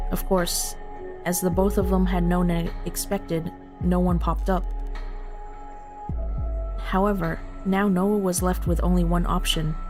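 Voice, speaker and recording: A woman streamer who moves between casual, informal chat and reading a visual novel story aloud. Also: fairly low pitch. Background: background music.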